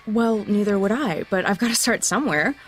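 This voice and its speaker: A woman acting a headstrong, restless blind high school girl in an audio drama, talking casually and naturally. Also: expressive, fairly quick, faint music behind, close to an everyday voice. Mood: determined. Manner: casual, conversational.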